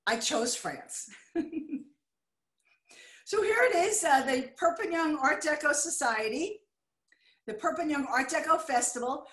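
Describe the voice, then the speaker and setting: An older American woman giving a chatty, excitable slideshow lecture on a video call, now and then apologizing when the slides skip. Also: high-pitched. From United States. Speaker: art deco lecturer. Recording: echoey room.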